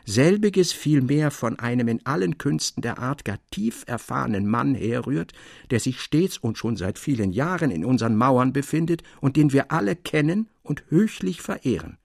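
A man voicing an elderly gentleman in a literary tale, speaking in a courteous, formal, old-fashioned manner. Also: medium pitch, lively intonation, moderate pace, gravelly, no echo, clean studio sound. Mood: courteous, earnest. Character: elderly, politely formal gentleman, quietly knowing storyteller.

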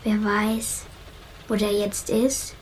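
A woman's voice: medium pitch, flat delivery, takes it slowly, darkish tone, natural voice. A young female performer playing a defiant girl who answers in short, stubborn retorts in a naturalistic children's radio play. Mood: defiant, wistful. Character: young girl attached to her lost pet bird, defiant. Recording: clear background ambience noise.

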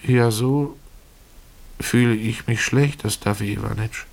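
A man's voice: fairly low pitch, creaky, voice pushed up. A man voicing a meek, pleading broken drunkard. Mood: weak, faltering. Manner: pleading, halting, deferential. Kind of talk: German radio play reading.

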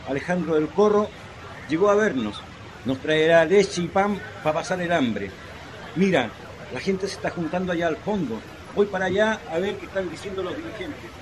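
A man's voice: a little high, natural voice. A man playing an earnest, poor Chilean shantytown husband in an emotional radio drama. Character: desperate poor shantytown husband, consoling and resolute. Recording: noisy, with crowd ambience behind.

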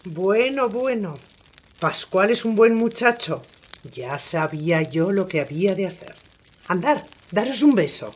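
An actress playing a blunt, bossy village mother in a radio drama, brisk and commanding. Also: medium pitch, animated, husky. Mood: satisfied, bossy. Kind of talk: radio play acting.

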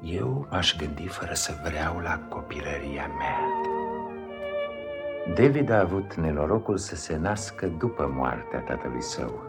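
An actor playing a reflective author narrating remembered childhood in a solemn, wistful 1950s Romanian radio play style. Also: male voice, rumbling low, very animated, exaggerated voice. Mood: storytelling. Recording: 1950s radio recording, loud music behind.

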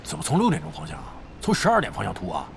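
An actor playing a brash, foul-mouthed veteran gamer and gang leader in a Chinese audio drama, blustering and grumbling. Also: male voice, medium pitch, wildly swooping pitch, racing, creaky, a little hiss or hum, full character voice. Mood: gruff planning. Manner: blustery, grumbling, swears freely.

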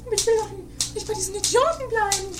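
A woman's voice: very high voice, fast talker, background noise. A woman playing a chatty, vain and impatient murder witness, theatrical and demanding, in an anarchic comedy radio play. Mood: petulant.

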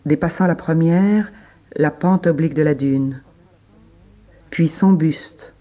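Man, higher-pitched, gentle dark tone, measured phrases, literary radio reading. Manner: measured, pausing between short phrases. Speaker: reader. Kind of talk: slow literary recitation in a radio play.

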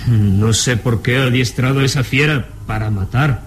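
A man's voice: medium pitch, moderate pace, a little hiss or hum, natural voice. An actor playing an unstable, resentful young man with an agitated manner in a radio drama. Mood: resentful, irritated. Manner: agitated, stammering repetitions when rattled. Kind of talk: vintage radio drama acting.

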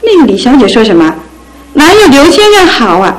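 Jealous, high-voiced young sweetheart. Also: female voice, sing-song, brisk, rough recording. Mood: jealous, accusing. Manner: petulant, emphatic. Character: jealous, wounded young sweetheart, sulky and accusing. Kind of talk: radio drama acting.

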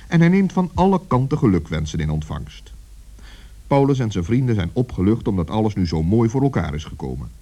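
A man's voice: fairly low pitch, mellow, slightly rough, dull audio. A man narrating a children's story in a storybook style. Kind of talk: storybook narration in the present tense.

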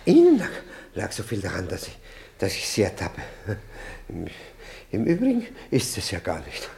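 An actor playing a jealous, middle-aged husband in a radio play, pompous and evasive, stammering with theatrical indignation. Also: male voice, low voice, wildly swooping pitch, moderate pace, creaky, a little mellow, exaggerated voice. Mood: nervous insistence. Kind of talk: 1960s German radio play acting.

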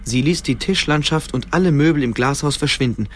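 An actor narrating a fantasy adventure as the storyteller of a scripted radio drama. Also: male voice, a little high, a little fast, a little hiss or hum, close to an everyday voice. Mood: atmospheric.

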